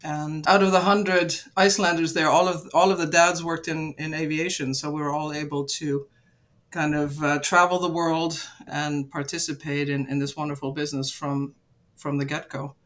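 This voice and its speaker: A woman's voice: sits low, little pitch movement, moderate pace. Woman in her fifties from Luxembourg; upbeat, chatty guest telling her story. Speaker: podcast guest, aviation executive. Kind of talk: casual interview conversation.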